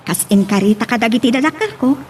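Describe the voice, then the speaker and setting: A woman's voice: on the high side, lively intonation, quick pace, lightly acted. A woman playing an affectionate young bride and granddaughter in a radio drama, with a Filipino accent. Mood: earnest. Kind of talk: Ilocano radio drama acting. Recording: noticeable background noise.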